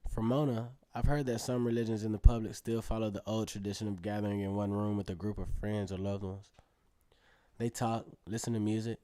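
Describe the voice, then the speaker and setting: A man's voice: on the low side, warm tone, dry recording, lightly acted. An actor playing an earnest teenage acrobat who narrates his story in a reflective, conversational way in a radio drama. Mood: thoughtful. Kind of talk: first-person narration in an Afrofuturist radio drama.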